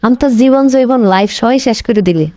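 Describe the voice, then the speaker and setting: A woman acts out a dramatic, blaming cartoon wife with a highish voice. She scolds and wails at a very quick clip in a regional Bangladeshi Bengali dialect. The sound is close and dry. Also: mellow, no echo, close to an everyday voice. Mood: angry, bitter. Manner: wailing, scolding, repetitive complaining. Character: shrill, dramatic, blaming wife and shop-owning boss. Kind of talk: comic cartoon dialogue.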